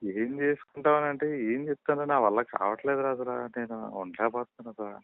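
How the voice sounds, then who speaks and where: A distressed man with a coastal Andhra Telugu accent talks privately on the telephone. He sounds despairing, his words come very quickly in a medium pitch, and the line is narrow and tinny. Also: no room sound. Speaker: police sub-inspector. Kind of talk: private phone conversation. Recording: tinny narrowband phone call, dry.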